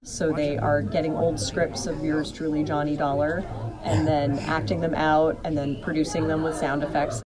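A woman with a fairly low voice talks casually, like a teacher in an interview. She is animated in telling her anecdotes. Other people's chatter is clearly heard behind her. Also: normal speed. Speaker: high school radio teacher.

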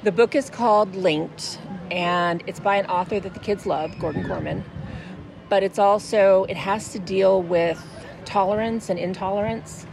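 A woman's voice: medium pitch, steady tone, a little slow, vocal fry, background noise. A middle-aged American woman, a schoolteacher, explaining things and reading aloud. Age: middle-aged or older. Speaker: fifth grade teacher. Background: music.

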